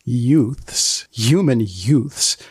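A man hosting a podcast in a wry scripted style, speaking in a deadpan, mock-solemn way with deliberate phrasing. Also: medium pitch, breathy edge, no echo, studio quality. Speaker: podcast host.

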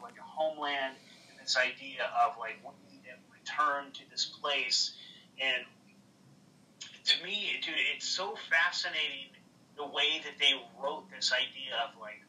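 A man's voice: medium pitch, measured pace, pushed and bright, far from the mic, rough recording. A man in a casual livestream conversation, rambling and swearing, with lots of "like" fillers. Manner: rambling, heavy on "like" fillers, profane. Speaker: livestream guest.

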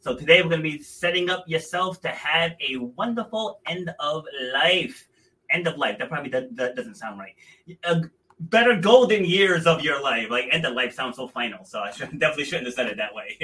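A male podcast host speaks in a bright, somewhat high voice, recorded from a little distance on a computer mic. He chats casually and stumbles into self-corrections that he laughs off. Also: sing-song, normal speed. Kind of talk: casual video podcast interview.

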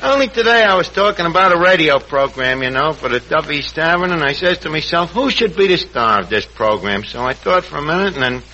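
Brash, animated New York tavern wiseguy. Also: male voice, on the high side, brisk, hardly any pauses. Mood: eager, blustery. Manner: streetwise slang and malapropisms. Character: brash, wisecracking tavern man mangling fancy words. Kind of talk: 1940s radio comedy acting. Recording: noisy, dull 1940s radio recording.

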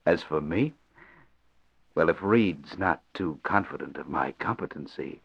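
An actor playing a scheming doctor in a 1940s radio drama, cold and conspiratorial. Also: male voice, rumbling low, unhurried, creaky, slightly rough, heavily acted. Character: scheming male doctor plotting with accomplice. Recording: dull lo-fi old radio recording.

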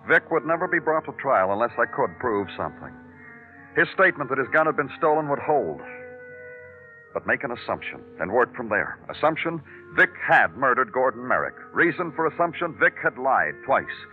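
An actor playing a dry, dogged police detective in an old-time radio crime drama, terse and hard-boiled. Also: male voice, mid-range voice, sing-song, moderate pace, soft background music, close to an everyday voice. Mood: methodical, brooding. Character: dry, dogged male police detective narrating his own case. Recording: tinny old radio recording.

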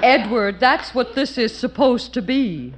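A woman playing a stern, prim middle-aged matron who speaks slowly and pointedly. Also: on the high side, lively intonation. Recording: echoey noisy old radio recording.

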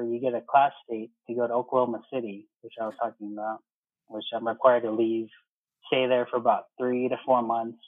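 A man's voice: mid-range voice, no room sound. Man on a phone-like line, casual storytelling. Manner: conversational, retells remembered exchanges in quoted speech. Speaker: interview guest, air traffic controller. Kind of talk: casual personal storytelling in an interview.

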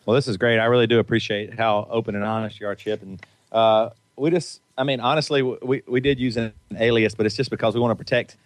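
A man talks in an earnest, preachy way, tripping over and repeating his words. His voice sits in a middle pitch, recorded close and dry. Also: fairly even intonation, quick pace, dry recording. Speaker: podcast co-host. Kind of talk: casual chat.

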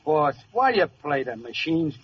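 Deferential male henchman, high animated voice, gangland sidekick, vintage radio comedy. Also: a little slow, crowd noise. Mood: protesting. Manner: deferential, protesting sidekick talk. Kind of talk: 1940s radio comedy acting. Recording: muffled, hissy old radio broadcast.

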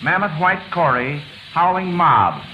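A man's voice: a little high, sing-song, a little slow, soft background music. A man hosting an old radio quiz show, chatty and folksy as he reads questions and repeats answers. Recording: noisy, muffled vintage radio broadcast.

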